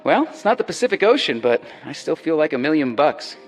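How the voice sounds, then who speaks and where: Easygoing young doctor. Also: male voice, fairly high pitch, very animated, a little fast, soft background music. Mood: breezy. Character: young 1950s doctor, easygoing, evasive husband. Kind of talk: radio drama acting.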